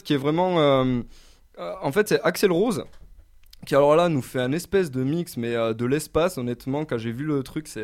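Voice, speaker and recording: A man's voice: mid-range voice, darkish tone. A man hosting a radio music show, chatting casually between songs and often hesitating with fillers and restarts. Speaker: radio host.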